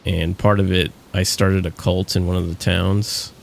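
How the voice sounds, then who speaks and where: Male streamer, deep low voice with gravel, casual rambling gaming chat. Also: flat delivery, a little slow, a little hiss or hum. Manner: rambling, lots of fillers and restarts.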